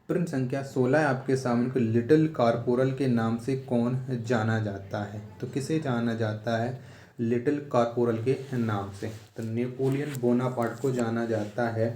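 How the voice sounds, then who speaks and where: Man teaching, mellow voice. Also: mid-range voice. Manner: repetitive, rhetorical questioning, instructional. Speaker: exam coaching teacher. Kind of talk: quiz lesson, reading questions and answers aloud.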